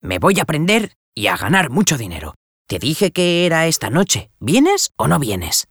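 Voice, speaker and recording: A man's voice: fairly high pitch, wildly swooping pitch, unhurried, a bit bright, slightly rough, dry recording, clean studio sound, pitched above the performer's own voice, exaggerated voice. A man voicing a restless young village boy who talks in an eager, boyish way. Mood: impatient.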